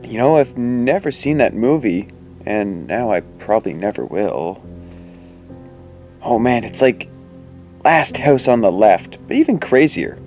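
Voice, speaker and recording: A man's voice: medium pitch, sing-song, unhurried, a little mellow, faint music behind. An actor playing a wry, skeptical teenage boy who talks in casual, sardonic slang in an audio drama. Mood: sardonic, musing. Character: wry, pop-culture-quoting skeptical teenage boy sidekick. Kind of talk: conversational audio drama acting.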